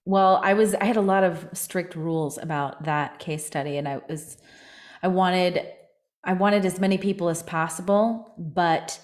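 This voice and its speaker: A woman's voice: fairly low pitch, fairly even intonation, unhurried, echoey room. A woman hosting an interview as a vocal coach, asking encouraging questions in casual chat.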